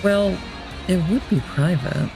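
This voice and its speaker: Actress as a creaky-voiced, reserved young woman. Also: lowish voice, slow, over music. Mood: wary. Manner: hesitant, shy, understated. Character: reserved, awkward young female medical student. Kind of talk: audio drama acting.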